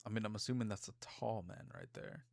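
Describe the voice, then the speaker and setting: A man with a low voice full of fry, recorded close and dry. He co-hosts a casual talk, offering quiet, hesitant, laconic remarks. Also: brisk, no echo. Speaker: co-host reacting to the discussion. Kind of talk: casual low-key chat.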